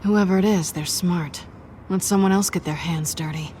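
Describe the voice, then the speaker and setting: A woman's voice: fairly low pitch, steady tone, moderate pace, over a noisy crowd, natural voice. A woman playing a cool, self-reliant antiheroine with a sardonic, nonchalant manner in a cinematic video game. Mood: cool, skeptical.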